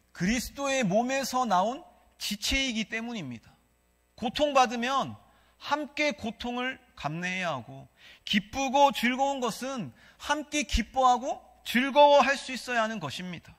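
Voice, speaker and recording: A man's voice: extremely high pitch, lively intonation, a little slow, fairly bright tone. A male pastor with a Gyeongsang accent preaching a sermon, asking the congregation questions and leading them in reading scripture aloud. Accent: Gyeongsang Korean. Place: church sanctuary. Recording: long reverb of a church hall.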